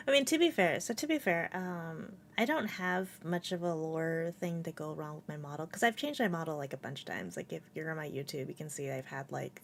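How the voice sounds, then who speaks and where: A young woman streamer chats from home with a cat on her lap, rambling through her thoughts with lots of fillers and frequent giggles. Her voice sits a bit low for a woman. A faint background hiss runs under her voice. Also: vocal fry. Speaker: VTuber streamer. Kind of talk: casual live-stream chat.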